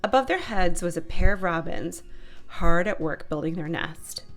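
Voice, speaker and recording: A woman's voice: fairly low pitch, lively intonation, moderate pace. A woman narrating a children's picture book as a read-aloud storyteller. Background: music.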